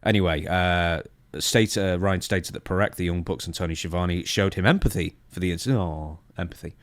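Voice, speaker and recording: A man with a fairly low voice co-hosts a wrestling podcast. He reads through news notes and comments on them conversationally. The sound is dry, with hardly any room in it. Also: a little fast, no room sound. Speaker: podcast co-host. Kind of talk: reading out a wrestling news timeline and discussing it.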